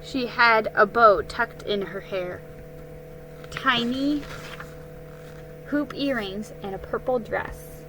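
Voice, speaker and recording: Slow-paced woman reading a story aloud. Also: a little high, over music, poor audio. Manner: careful, halting reading with stumbles and re-reads. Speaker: storybook reader. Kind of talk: dramatic reading of a children's story.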